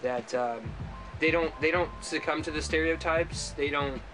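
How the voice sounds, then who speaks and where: A man's voice: on the high side, normal speed, poor audio. A man in his twenties, a student giving informal interview answers. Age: 20s. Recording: music under the voice.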